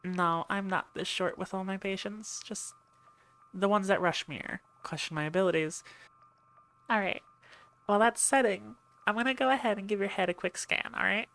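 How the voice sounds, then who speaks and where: A woman's voice: medium pitch, moderate pace, fairly bright tone, natural voice. A woman playing a brusque, sarcastic ship medic in an ASMR roleplay, dry and impatient, talking straight to her patient. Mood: exasperated. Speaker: voice actor in audio roleplay. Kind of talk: ASMR roleplay acting, speaking to listener.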